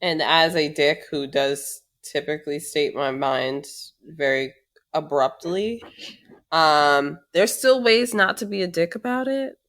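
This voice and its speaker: Outspoken woman with a bright voice. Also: sits low, measured pace. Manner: outspoken, profane, sarcastic. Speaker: podcast co-host. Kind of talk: casual chat.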